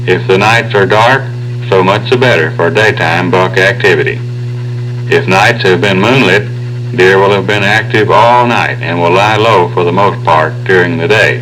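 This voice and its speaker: A man giving measured, formal scripted instruction as a hunting guide. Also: fairly low pitch, a bit bright. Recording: old noisy tape with hum.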